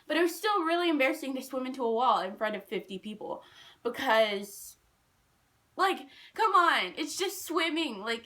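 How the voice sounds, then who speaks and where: A young woman telling personal stories in a casual, animated, rambling and self-deprecating way. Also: high-pitched. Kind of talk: casual storytime chat.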